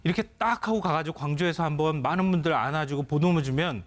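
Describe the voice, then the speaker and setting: A man giving political analysis on a news panel, explaining at length and framing points as his own view. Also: a little high. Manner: measured, explanatory, hedging with "I think". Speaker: political commentator.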